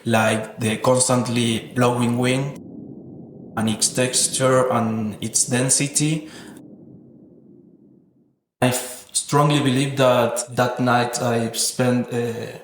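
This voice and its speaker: A man speaking reflectively and earnestly as an interviewed artist, with hesitant, non-native phrasing. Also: medium pitch. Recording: roomy, echoing sound.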